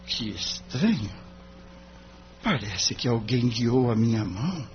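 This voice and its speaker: Earnest young lawyer acted by a man, expressive pitch. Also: slow pace. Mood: pensive. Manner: polite, thoughtful. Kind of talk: audio drama acting. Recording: dull recording with faint hum.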